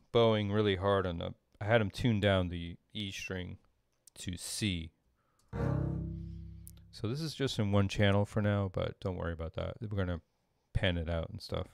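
A middle-aged man giving an informal tutorial at his desk, thinking aloud in a halting way as he explains. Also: low voice, gravelly. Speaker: composer demonstrating audio programming. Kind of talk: informal screen-share tutorial. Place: small indoor room at a desk.

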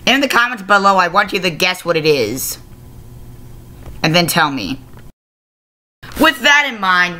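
A young adult giving a casual video review to camera, halting and often self-correcting, recorded on a webcam mic in a small room. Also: medium pitch, pushed and bright. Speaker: review show host. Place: small plain-walled room indoors. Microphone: laptop webcam microphone at arm's length.

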